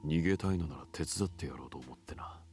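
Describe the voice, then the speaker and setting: A man's voice: a bass voice, racing, creaky, darkish tone, breathy edge, dry recording, soft background music, exaggerated voice. An actor playing a jaded, cocky gangster man in a visual novel, blunt and offhand, coolly recounting his schemes.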